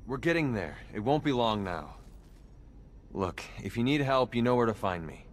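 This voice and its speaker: An actor playing a concerned young sidekick in a video game, earnest in delivery. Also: male voice, mid-range voice, expressive, normal speed, a little hiss or hum, natural voice. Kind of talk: video game dramatic acting.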